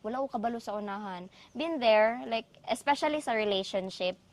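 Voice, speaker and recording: A woman from the Philippines with a Filipino accent and a Cebuano mother tongue, co-hosting a casual, reflective chat in a halting way with trailing thoughts. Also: fairly high pitch, lively intonation. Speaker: podcast co-host.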